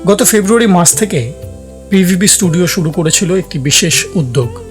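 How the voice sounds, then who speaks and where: A man with a fairly high voice presents a story series in formal scripted narration. Music plays clearly behind him. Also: expressive, normal speed. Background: background music.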